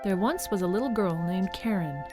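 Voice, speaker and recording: A woman's voice: medium pitch, expressive, a little fast. A woman narrating a scripted radio serial like a storybook, speaking directly to the listeners. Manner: storybook recap, addressing listeners. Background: music with jingling bells.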